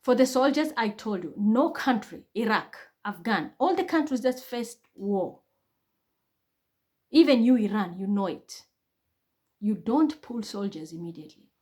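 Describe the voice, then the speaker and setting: A woman's voice: medium pitch, expressive, a little slow, a little mellow. A woman with a Kenyan accent giving a blunt, heated monologue, swearing freely and building to outbursts. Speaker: online commentator. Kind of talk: impassioned monologue.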